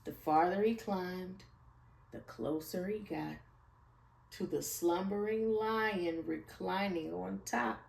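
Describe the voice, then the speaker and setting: Woman reading a kids picture book, slow and super expressive, echoey room, bit far from mic. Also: fairly low pitch, gravelly, slight background noise. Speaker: storyteller. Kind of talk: expressive children's picture-book read-aloud.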